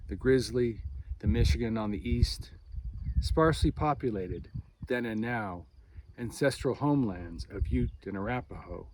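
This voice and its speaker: Man, poetry reading, unhurried measured phrasing, frequent pauses, medium pitch, outdoor background noise. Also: a little mellow. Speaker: poet.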